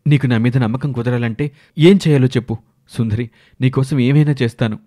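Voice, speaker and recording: A man acting a domineering village husband in a dramatic animated moral story. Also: mid-range voice, brisk, lightly acted. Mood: coaxing. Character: domineering village husband, scheming then wrathful.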